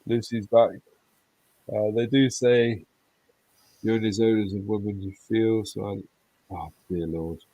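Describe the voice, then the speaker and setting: A man's voice: on the low side, steady tone, unhurried, long pauses, mellow, low-quality recording. A man in his forties hosting a casual live-stream chat, reading out messages from viewers and laughing along with the jokes. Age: 40s. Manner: banters and reads chat messages aloud, laughing often. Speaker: host.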